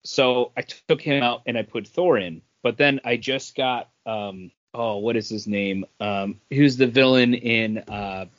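A man's voice: mid-range voice, unhurried, hardly any pauses. A man on a podcast panel, casually explaining things step by step. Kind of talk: casual hobby chat. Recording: dull lo-fi audio.

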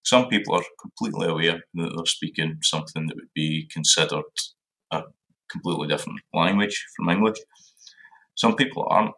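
A man's voice: deep voice, a little fast, sharp tone, rough recording. A man with a Scottish accent, a guest in a podcast interview, talking animatedly and repeating words as he thinks aloud. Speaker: language centre director, guest.

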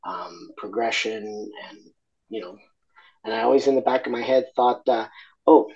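Man from Argentina, podcast guest, reflective and halting, slightly dull lo-fi audio. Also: fairly low pitch, creaky, darkish tone. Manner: reflective, halting, many fillers and restarts. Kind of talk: candid interview conversation.

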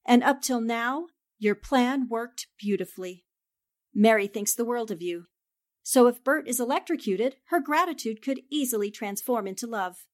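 A woman's voice: fairly high pitch, expressive, normal speed, lightly acted. A woman playing a shrewd, confident newspaper reporter with poised, theatrical delivery in a 1940s-style radio drama. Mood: cool accusation. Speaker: voice actor.

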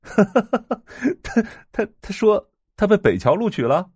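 A man's voice: high voice, big pitch swings, slow pace, a little mellow, husky, no echo, studio quality, exaggerated voice. An actor playing an arrogant, scornful teenage boy in an audio drama, with a mocking manner. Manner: sneering, mocking.

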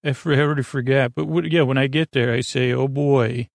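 A man with a creaky voice hosting a sleep podcast, meandering haltingly and goofily through a bedtime monologue and often interrupting himself. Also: mid-range voice, steady tone, no echo. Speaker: podcast host. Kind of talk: rambling bedtime monologue to help listeners sleep.

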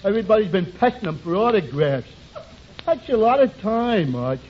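An actor playing a dim-witted, good-natured barfly with earnest simpleton delivery in a 1940s radio sitcom. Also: male voice, sits high, big pitch swings, a little fast. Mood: earnest, dopey. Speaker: comedy supporting player. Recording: muffled, noisy 1940s radio broadcast.

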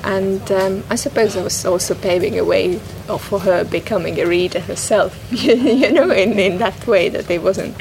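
A woman whose first language is Estonian speaks thoughtfully as a guest, pausing often and restarting her sentences. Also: medium pitch, creaky, a little mellow. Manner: thoughtful, hesitant, many restarts and "you know". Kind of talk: reflective conversation about a poem. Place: bookshop, indoors. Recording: noisy public bookshop.

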